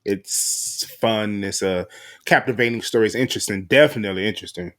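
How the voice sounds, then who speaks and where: A man's voice: lowish voice, fairly quick, a bit bright. A man hosting a podcast in casual, slangy, promotional chat. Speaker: podcast host and voice actor.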